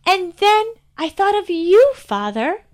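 A woman's voice: very high-pitched, very animated, slow pace, voice pushed up, exaggerated voice. A woman voicing a clever, cheerful young princess who tells riddles and jokes eagerly. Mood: earnest. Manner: eager, bright riddling questions.

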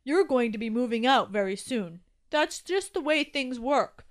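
A chipper grown-up tooth from a children's storybook, acted out by a woman. He speaks in a fairly high voice with brisk, matter-of-fact confidence. His manner is reassuring and a little patronizing, like an elder addressing a youngster. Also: expressive. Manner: upbeat, brisk, patronizing toward the little one.

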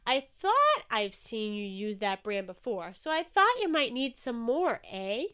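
A genial storybook eel, as a woman plays it, polite and a little fussy. The delivery is chatty and genteel, with lively movement in a fairly high pitch. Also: unhurried, clean tone, full character voice. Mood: pleased.

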